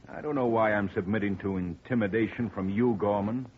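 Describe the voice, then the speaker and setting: A man's voice: fairly low pitch, a little fast, slight background noise, lightly acted. An actor playing an indignant, defensive male murder suspect in a 1950s radio drama. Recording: dull old radio broadcast.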